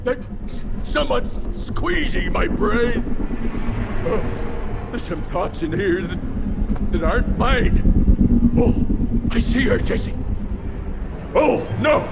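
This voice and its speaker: A man's voice: very high-pitched, expressive, very slow, creaky, long pauses, heavy background noise, full character voice. An actor playing a terrified older man who feels a vampire inside his mind, straining and panicked.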